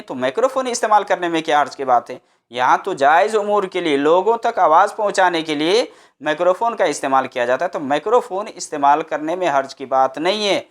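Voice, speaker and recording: A man giving an Islamic religious lecture, explaining step by step and repeating phrases for emphasis. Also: sits high. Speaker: Islamic preacher. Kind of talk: religious lecture with scripture quotations.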